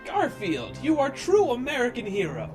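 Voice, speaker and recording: A man's voice: extremely high pitch, huge pitch swings, normal speed, darkish tone, faint music behind, pitched above the performer's own voice, heavily acted. A man voicing earnest, gushing colonial patriots in a parody story. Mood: heartfelt. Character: earnest, grateful patriotic founding fathers.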